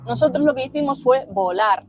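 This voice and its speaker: A woman's voice: sits high, animated, fairly quick, loud music behind the voice. Chatty Galician woman hosting a livestream. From Galicia, Spain. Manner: chatty, reads viewer greetings aloud. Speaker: co-host of travel livestream. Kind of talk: casual livestream chat.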